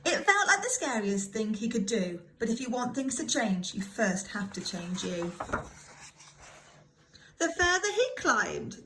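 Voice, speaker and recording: A woman reading a children's story aloud in an expressive, dramatic way, like a primary school teacher. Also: medium pitch, background noise. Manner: animated, dramatic emphasis.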